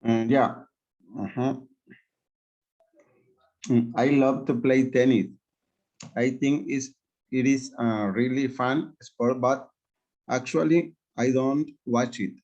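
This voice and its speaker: Slow-speaking male student, dull call audio. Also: medium pitch, fairly even intonation, a little mellow. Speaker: English student. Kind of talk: reading aloud in class.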